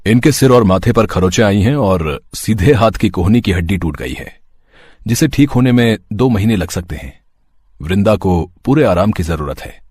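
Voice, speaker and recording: A man's voice: on the low side, animated, moderate pace, darkish tone, breathy edge, no room sound. A man voicing a serious, matter-of-fact male doctor in a cartoon story.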